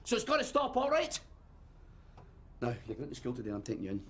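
A man's voice: fairly low pitch, steady tone, rapid-fire, dark tone, lightly acted. An actor playing a stern, grieving middle-aged British father in a naturalistic drama, scolding and pleading. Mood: angry, worried. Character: stern, grieving, exasperated widowed father of teenagers. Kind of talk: naturalistic screen drama acting.

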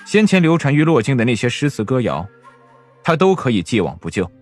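A man's voice: medium pitch, big pitch swings, a little fast, a little mellow, faint music behind. Cold, stern imperial swordsman. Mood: cold, grim. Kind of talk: Chinese period audio drama acting.

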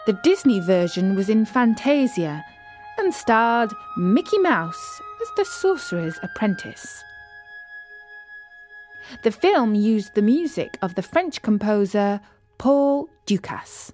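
A woman narrating a scripted story for children as a storyteller, with measured, deliberate phrasing. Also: medium pitch, very animated, darkish tone, faint music behind.

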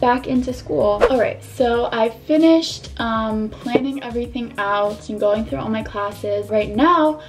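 A woman's voice: a little high, unhurried, few pauses, faint music behind. A woman in her twenties vlogging casually from her bedroom, chatty and full of "like" fillers, her voice a bit worn. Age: 20s. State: slightly losing her voice. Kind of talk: casual vlog chat to camera. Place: bedroom, indoors.

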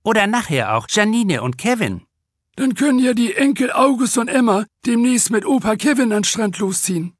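Man acting amused snack-bar regular, wry small talk, audiobook character, lifted pitch. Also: sing-song, normal speed, no echo, studio quality.

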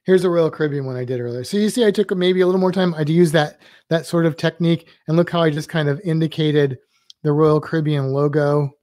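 A man's voice: a little high, mellow, no room sound, clean studio sound. A man teaching drawing on a live stream, rambling loosely and thinking aloud while he sketches.

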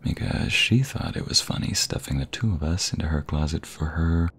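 Very deep, breathy, slow husband voice acted by a man. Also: fairly bright tone, exaggerated voice. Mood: playful teasing. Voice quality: breathy, husky, gravelly.

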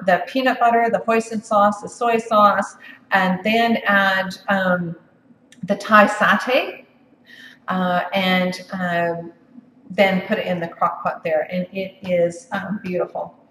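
A woman's voice: medium pitch, fairly bright tone, a little hiss or hum. Woman presenter, casual cooking demo, chatty with frequent fillers; echoey room, mic at a distance. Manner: chatty, many ums and restarts. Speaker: cooking demonstrator. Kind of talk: live cooking demonstration. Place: home office kitchen.

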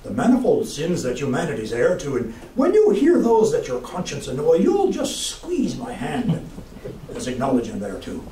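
A man's voice: fairly high pitch, huge pitch swings, normal speed, mellow, slight background noise, voice pushed up. A man voicing a stern older country priest in a mock-stern, raised voice, heard from a distant mic in a roomy space. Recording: roomy room, distant mic, faint ambience.

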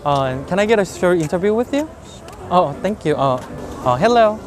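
A man's voice: high voice, lively intonation, normal speed, over music. A young man from South Korea with a Korean accent, chatting and interviewing people in a city park, with many fillers and laughs. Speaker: street interviewer. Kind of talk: street interview vlog. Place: busy city park outdoors.

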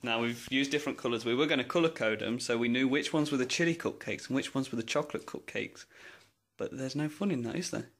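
A man's voice: medium pitch, quick pace, fairly bright tone. A young man with a Midlands English accent, chatting playfully while cooking at home. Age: young adult. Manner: playful, bursts into laughter. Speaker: cooking vlog co-host. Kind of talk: casual jokey cooking chat. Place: small home kitchen. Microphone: camera mic on the counter. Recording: noisy home video.

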